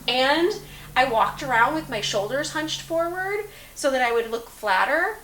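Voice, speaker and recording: A woman's voice: high-pitched, expressive, a little slow, sharp tone, heavily acted. A woman playing a sympathetic, confiding teenage girl in an amateur dramatic dialogue reading. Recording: roomy echo, hiss in background.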